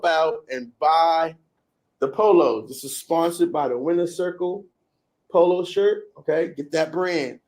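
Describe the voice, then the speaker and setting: A man's voice: on the high side, unhurried, a little mellow. A man opening his vlog with a sponsor plug and introducing a guest in an upbeat way. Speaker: video host interviewing a guest. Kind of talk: casual vlog intro and interview.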